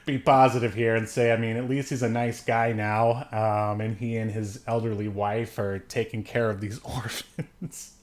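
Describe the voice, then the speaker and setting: A man's voice: on the low side, little pitch movement, normal speed, few pauses. A man co-hosting a podcast, recapping a film in casual, easygoing, joking chat. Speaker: podcast co-host.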